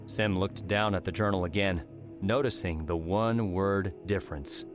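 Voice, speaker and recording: A male audiobook narrator reads scripted fiction in a fairly low voice. Music plays clearly behind the narration. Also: fairly even intonation, normal speed.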